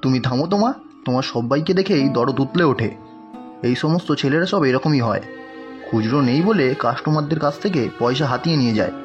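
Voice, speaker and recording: A man's voice: medium pitch, a little fast, dull audio, soft background music, lightly acted. An actor playing a rude, sarcastic, hot-tempered young male customer in a cartoon. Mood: dismissive. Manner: curt, sarcastic, scornful. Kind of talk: animated cartoon dialogue.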